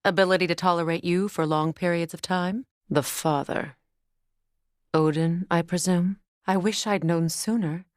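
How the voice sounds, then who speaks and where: A woman's voice: on the low side, steady tone, moderate pace, no echo, close to an everyday voice. A proud Norse goddess from a fantasy video game, played by a woman. She is a middle-aged warrior and mother who carries grief. Her words are formal and earnest, in a close, dry recording. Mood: grave, measured. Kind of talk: dramatic game dialogue.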